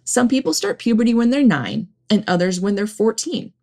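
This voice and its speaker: A woman hosting an educational podcast, reading a scripted narration in a gentle, reassuring manner. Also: medium pitch, lively intonation, moderate pace. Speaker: podcast host. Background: background music.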